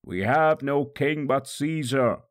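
A man voicing hostile religious leaders in a mob, making aggressive, forceful demands for an execution in a dramatic scripture story. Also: mid-range voice, slow pace, dry recording, pitched above the performer's own voice, close to an everyday voice. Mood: aggressive, demanding.

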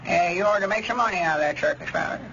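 High-voiced, fretful old country codger. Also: male voice, rapid-fire, gravelly, bright, forceful tone, exaggerated voice. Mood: agreeable. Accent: rural Southern American. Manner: folksy, querulous. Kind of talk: 1930s radio comedy acting. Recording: muffled, noisy old radio recording.

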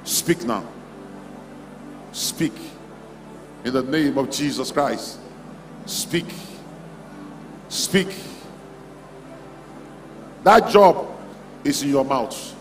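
A man's voice: mid-range voice, animated, extremely slow, pauses often. A man preaching a charismatic sermon, exhorting his congregation in short, repeated declarations. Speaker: preacher leading a convention. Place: large hall at a church convention. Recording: echoey hall PA, music underneath. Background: music.

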